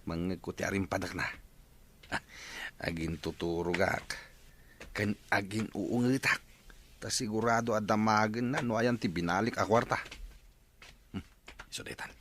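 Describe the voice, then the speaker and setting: A man's voice: on the low side, normal speed, pauses often, background noise, close to an everyday voice. A man playing a flustered, put-upon husband, full of exasperated exclamations, in a comic audio drama. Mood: muttering, worried.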